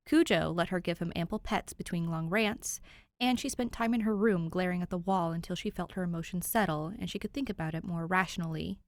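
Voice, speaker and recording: A woman's voice: fairly low pitch, no echo. Young woman reading fiction, close dry sound. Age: young adult. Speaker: podfic reader. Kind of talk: informal intro and expressive fan fiction narration.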